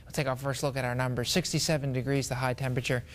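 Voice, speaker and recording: A man's voice: mid-range voice, level tone, very fast. A man with an American accent giving a conversational weather forecast as a TV meteorologist.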